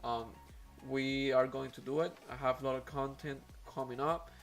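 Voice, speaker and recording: A man's voice: medium pitch, steady tone, moderate pace, soft background music. A man whose first language is Spanish, speaking English with a Spanish accent as host of an aquarium live stream, talking casually with many fillers and restarts.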